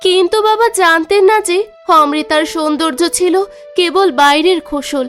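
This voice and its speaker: A woman playing a gentle, sorrowful young princess in a fairy-tale cartoon. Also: very high-pitched, moderate pace, soft background music. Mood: sorrowful recounting.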